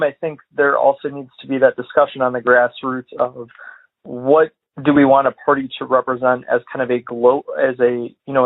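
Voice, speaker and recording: Man from Illinois on a tinny phone line. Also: mid-range voice. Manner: measured, hesitant, many fillers and hedges. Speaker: caller. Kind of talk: voicemail commentary.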